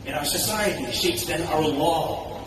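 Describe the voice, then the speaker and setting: A man with a slightly high voice gives an eager, chatty talk at a conference. He is picked up from a distance in a large, booming hall, and steady background noise runs under his voice. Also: a little slow. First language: English. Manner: enthusiastic, conversational, poses questions to the audience. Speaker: conference speaker. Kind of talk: public speech at a conference. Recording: echoey hall recorded from a distance, audible noise.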